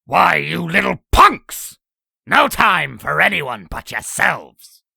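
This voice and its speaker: A man playing a sinister, boastful old demon in a comic dub, telling tales in a raspy, gravelly, theatrical voice. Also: on the high side, big pitch swings, slow, sharp tone, no echo, studio quality, heavily acted. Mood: irritated, menacing. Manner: theatrical, grandiose storytelling. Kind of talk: comic dub villain acting.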